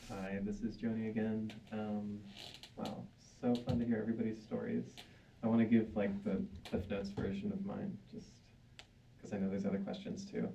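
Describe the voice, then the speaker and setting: A man's voice: on the low side, level tone, moderate pace, creaky, dark tone. A man at a live panel telling a story in a chatty, upbeat way with frequent fillers. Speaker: panel guest. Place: synagogue hall, live audience. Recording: dull distant sound with faint room noise.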